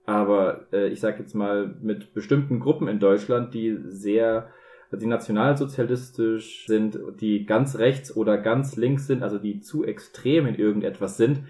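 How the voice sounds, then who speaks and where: A man's voice: fairly low pitch, measured pace, warm tone. A young man from Bavaria who talks thoughtfully in a reflective conversation and raises open questions. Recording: echoey room.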